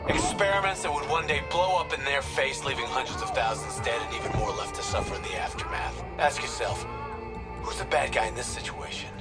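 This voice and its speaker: An actor playing a defiant soldier turned whistleblower in a video game, deliberate, impassioned and accusatory. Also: male voice, mid-range voice, close to an everyday voice. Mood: bitter, deliberate. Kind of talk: video game cutscene monologue.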